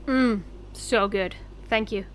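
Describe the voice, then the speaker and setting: A woman's voice: mid-range voice, takes it slowly, clean tone, natural voice. A woman playing a disapproving, exasperated young woman in a comedy audio drama, with a dramatic delivery. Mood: casual.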